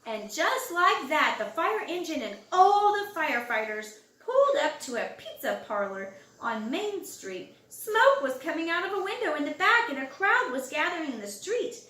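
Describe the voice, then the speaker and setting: A woman reads a picture book to young children in a high voice that swings widely in pitch. She stretches key words for effect. The recording is roomy, with the microphone some distance away. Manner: expressive, drawn-out words and loud acted exclamations for young listeners. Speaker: storybook reader. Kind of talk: animated children's storybook read-aloud.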